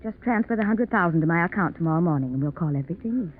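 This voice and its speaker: A woman's voice: lowish voice, expressive, rapid-fire, natural voice. A cool, greedy femme fatale played by a woman in a 1940s radio mystery. She is blithely mocking and calculating. The old recording is very narrow and muffled, with some hiss.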